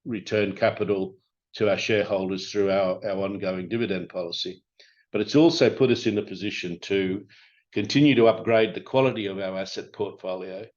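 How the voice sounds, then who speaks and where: A man presenting company results as an executive on an investor call, speaking in a halting, informal, hesitant way. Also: deep voice, a little mellow. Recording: dull, band-limited conference call audio.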